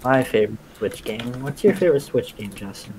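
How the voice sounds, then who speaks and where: A man's voice: medium pitch, animated, a crowd in the background, rough recording. A young man hosting a casual gaming video, asking his friend questions and joking while he plays. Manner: upbeat, jokey, quick asides while playing. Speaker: gaming channel host.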